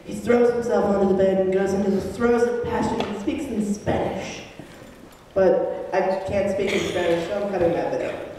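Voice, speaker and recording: A woman's voice: medium pitch, fairly even intonation, moderate pace, dark tone. A young woman acting as narrator in an amateur dramatic reading of comic fanfiction. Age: young adult. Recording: echoey hall, distant mic, faint noise.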